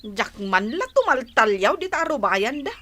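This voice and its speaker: A woman's voice: on the high side, big pitch swings, moderate pace, sharp tone, heavily acted. An actress with an Ilocano accent playing a whiny, envious village woman who grumbles indignantly in a radio drama. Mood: envious, scornful. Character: envious, sulky village woman who grumbles about her rich neighbours. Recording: music bed behind the voice.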